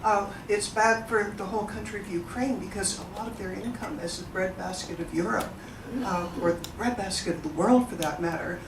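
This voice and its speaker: A woman's voice: mid-range voice, a little fast, hardly any pauses. A woman moderates a live event, chatty and eager, often turning to the audience with questions. She is recorded from a distance in a large, echoing room, with a constant background noise under her voice. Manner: chatty, enthusiastic, engages the audience. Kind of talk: informal presentation to an audience.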